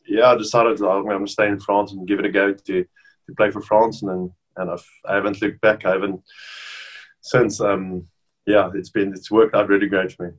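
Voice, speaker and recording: A South African man in his thirties, whose first language is Afrikaans, chatting casually over a video call as an interview guest with relaxed restarts. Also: lowish voice, moderate pace, poor audio. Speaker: rugby player guest. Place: bedroom at home, indoors.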